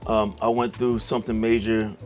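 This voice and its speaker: A middle-aged man speaks in a low, easygoing voice, full of "you know" and restarts. He tells his story in a relaxed, conversational way. Soft music plays faintly beneath him. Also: normal speed. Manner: laid-back, many fillers and restarts. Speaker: songwriter guest. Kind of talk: casual interview talk.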